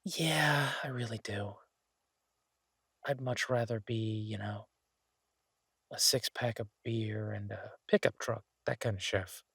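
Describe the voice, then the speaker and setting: A man playing an easygoing, affectionate chef in an intimate boyfriend roleplay audio, talking in a husky, halting, teasing way to a partner. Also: fairly low pitch, fairly bright tone, dry recording, studio quality, heavily acted. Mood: casual, wistful. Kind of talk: intimate boyfriend roleplay audio, casual one-sided chat.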